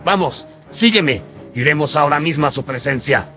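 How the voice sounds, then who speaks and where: A man's voice: fairly high pitch, expressive, moderate pace, sharp tone, soft background music, voice pushed up. A man voicing a sinister, mocking hunchback servant in a horror radio drama, with a filtered voice. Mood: sardonic, commanding. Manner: sardonic, menacing. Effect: voice processed with audio filters.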